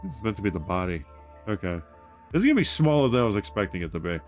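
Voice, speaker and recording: A man hosting a casual livestream, rambling excitedly and loudly, muttering part numbers as he builds. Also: lowish voice, rapid-fire, faint music behind. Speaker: livestream host building model kits.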